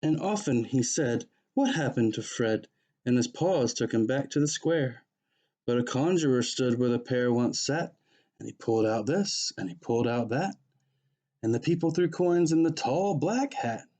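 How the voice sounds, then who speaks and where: A man with a soft, mellow voice reads a children's picture book aloud. He follows the rhyming verse as a storyteller, at an ordinary middle pitch. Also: lively intonation, moderate pace. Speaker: pastor reading a children's story.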